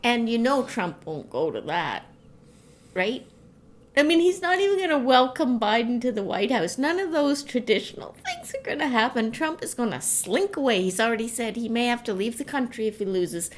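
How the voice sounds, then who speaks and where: A woman's voice: a little high, sing-song, normal speed. A middle-aged woman, chatting casually with her partner as a podcast co-host. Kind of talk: casual chat between a couple. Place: at home in self-isolation.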